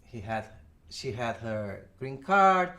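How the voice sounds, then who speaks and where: A man in his forties from Brazil with a Brazilian Portuguese accent, chatting as an interview guest in halting English full of restarts. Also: mid-range voice, sing-song, bright, forceful tone. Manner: halting non-native English with many restarts. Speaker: guest, audio engineer. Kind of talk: casual interview chat.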